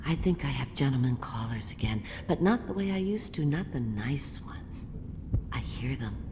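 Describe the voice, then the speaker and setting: An actress playing a doddering, half-mad old granny in a video game, cooing sweetly and muttering to herself. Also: female voice, extremely low pitch, expressive, moderate pace. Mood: uneasy muttering. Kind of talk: video game character acting. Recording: echoey hall, clear background noise.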